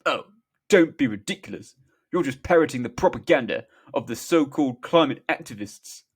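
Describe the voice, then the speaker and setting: A man's voice: medium pitch, expressive, a little slow, breathy edge. A man voicing a blustering, pompous male politician who grumbles and scoffs in a comic script reading. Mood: scoffing. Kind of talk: comic script read-through.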